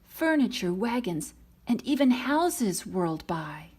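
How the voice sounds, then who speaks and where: A woman reading a children's storybook aloud in an expressive, dramatic manner. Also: medium pitch, slow, husky. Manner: dramatic, voices the characters' lines. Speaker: storybook reader.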